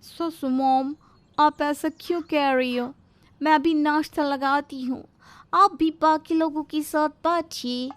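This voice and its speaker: Earnest, courteous foreign daughter-in-law for a cartoon tale, played by a woman; very high pitch, slow delivery. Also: steady tone. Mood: polite, earnest. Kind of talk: dramatised moral story for a cartoon.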